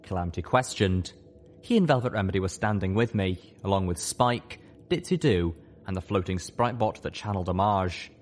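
A man's voice: low-pitched, normal speed. A man reading scripted first-person fiction as an audiobook narrator.

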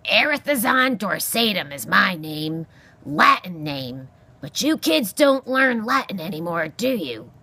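A woman's voice: mid-range voice, big pitch swings, measured pace, pushed and bright, breathy edge, full character voice. A woman voicing a grumpy old male porcupine in a storybook, barking scornful insults in a growling bluster. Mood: irritable.

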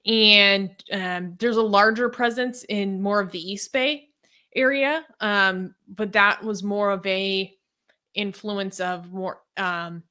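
A woman's voice: mid-range voice, fairly even intonation, takes it slowly, bright, forceful tone. A young woman talking through a news story casually, hesitant with many ums and likes, on a video call from home. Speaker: co-host.

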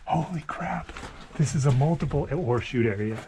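Man exploring a mine, mellow voice. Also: mid-range voice, moderate pace, a little hiss or hum. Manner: curious, thinking aloud, often hushed to near-whisper. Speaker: mine explorer and channel host. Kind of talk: casual exploring commentary. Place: inside an abandoned mine tunnel.

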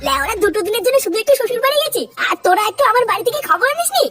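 A man voicing a cheeky, foul-mouthed young son in a comedy cartoon, excitable and crude, with a pitched-up voice. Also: racing, a loud crowd. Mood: irritated. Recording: music bed behind the voice.

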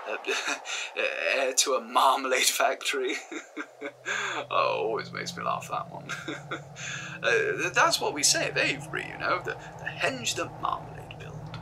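An actor playing a learned male historian who finds odd history amusing, heard from a noisy cassette tape. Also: medium pitch. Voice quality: lively, chuckling, confiding.

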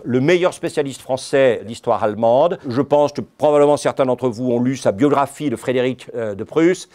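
A man's voice: mid-range voice, a little mellow. A middle-aged man from France giving a lecture on history, digressive and ironic, dropping frequent asides to his audience. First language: French. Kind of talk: university-style history lecture. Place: lecture hall.

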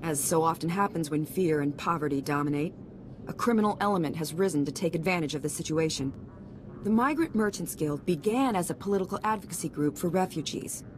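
An actress playing a stern, formal senator with authoritative oratory in a video game. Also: female voice, fairly quick, natural voice. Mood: stern urgency. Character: stern, commanding female senator, pressed for time. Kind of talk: video game cutscene acting. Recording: noticeable rumbling background noise.

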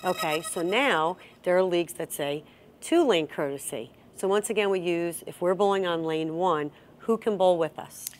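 A middle-aged woman with a lowish voice leads a bowling lesson as host. She explains things step by step and prompts her co-host with guiding questions. She sits at a table in a bowling center. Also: normal speed, slight background noise. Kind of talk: instructional chat.

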